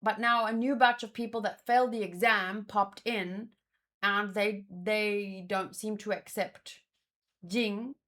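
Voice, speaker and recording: A woman's voice: mid-range voice, fairly even intonation, takes it slowly, a bit bright. A woman giving casual reaction commentary as a host, thinking aloud in a musing, hesitant way and often repeating words. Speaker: host of a manga read-along.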